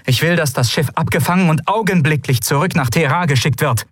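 A man voicing an imperious female ruler who speaks in sharp, commanding outbursts, raising his voice high above his own. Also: brisk, breathy edge, no room sound, studio quality.

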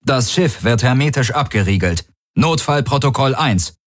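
Man voicing a barking female alien guard captain, husky. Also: lowish voice, lively intonation, unhurried, dry recording, clean studio sound, pitched above the performer's own voice. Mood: commanding, alarmed. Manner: barking, commanding, formal. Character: furry four-armed female alien guard captain, commanding, loyal. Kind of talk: audiobook character acting.

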